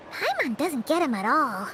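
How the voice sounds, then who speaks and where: A woman voicing a small, cheeky, chatty female fairy companion in a video game, with a squeaky childlike voice. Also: very noisy, heavily acted. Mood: puzzled. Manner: perky, speaks of self in third person. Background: game music.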